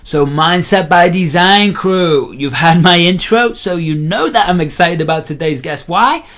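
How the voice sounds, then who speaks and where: A man's voice: sits high, measured pace, a bit bright. A man hosting a podcast, talking with enthusiastic, hype-driven energy and calling his guest "mate". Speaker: podcast host. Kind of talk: energetic podcast intro and interview.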